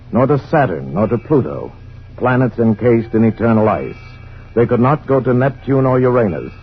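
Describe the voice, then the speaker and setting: Over music and through a dull vintage radio sound comes a low-voiced, solemn narrator. He tells a science fiction tale in measured, portentous phrases, grave and all-knowing. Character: solemn omniscient male narrator of a Martian invasion tale. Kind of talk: scripted radio drama narration. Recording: dull old radio broadcast recording. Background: dramatic music.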